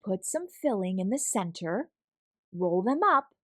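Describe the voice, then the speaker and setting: A woman voicing a kindly, calm old grandmother in a children's storybook, encouraging and instructive. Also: mid-range voice, measured pace, no room sound, clean studio sound. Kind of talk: children's storybook character reading.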